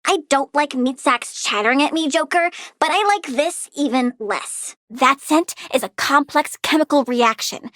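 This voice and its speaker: A woman's voice: high-pitched, moderate pace, few pauses, a bit bright, dry recording, clean studio sound. A woman voicing a bratty, eager little girl plant villain and scientist in a video game, petulant and cutesy, scornful of humans. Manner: petulant, cutesy, childlike delivery with sighs and scoffs. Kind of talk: video game character voice lines.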